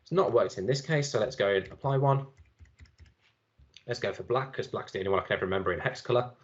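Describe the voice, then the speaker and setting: A man giving a relaxed, chatty software demo at a conference, with many fillers and restarts. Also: lowish voice, fast talker, highs cut off. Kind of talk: live screen-share demo talk.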